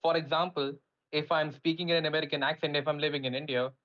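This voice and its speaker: A man's voice: fairly high pitch, steady tone, quick pace, muffled recording. A man in an online voice chat argument, pressing with incredulous, challenging questions. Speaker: debate participant.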